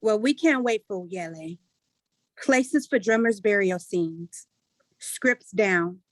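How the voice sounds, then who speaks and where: A woman's voice: mid-range voice, takes it slowly, no room sound, natural voice. A woman playing a dutiful grown daughter who steps up to direct, in a staged play reading. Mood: brisk, determined. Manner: earnest, organizing.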